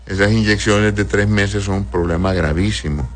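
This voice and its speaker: A man's voice: on the low side, normal speed. A male doctor answering listeners' health questions in a patient, explanatory way, often checking "right?" and calling patients "my love".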